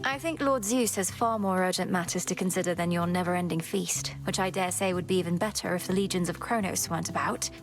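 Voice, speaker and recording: A woman's voice: on the low side, close to an everyday voice, low-quality recording. A woman as a solemn young witch heroine over music. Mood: formal, reproachful. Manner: formal, archaic, measured phrasing. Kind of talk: video game voice acting. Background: game music.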